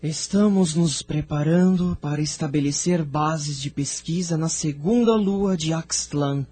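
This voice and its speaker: Man acting an entranced seer, high, slow and husky. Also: dark tone. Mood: entranced, awed. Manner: slow, trance-like, solemn. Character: entranced male seer solemnly recounting visions of ancient past. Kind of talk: audio drama monologue.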